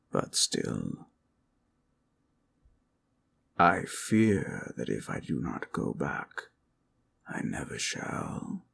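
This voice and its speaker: A man playing an ancient, gentle, fatherly red dragon in an audio roleplay, speaking tenderly in old-fashioned formal phrases. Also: heavily acted. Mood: wistful, tender. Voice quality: deep, gravelly, husky voice.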